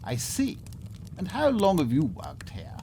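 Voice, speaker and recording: An actor playing a polite, excitable Victorian nobleman in a comedy radio play, with a theatrical, pompous and well-mannered delivery. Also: high voice, unhurried, vocal fry, exaggerated voice. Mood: polite, genial. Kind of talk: melodramatic first-person radio theatre narration and acting. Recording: noisy ambience behind the voice.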